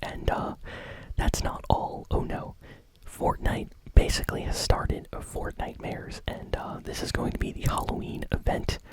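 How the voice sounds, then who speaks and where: A man's voice: moderate pace, poor audio. A man whispering a gaming news roundup as an ASMR host, with frequent fillers and repeated words.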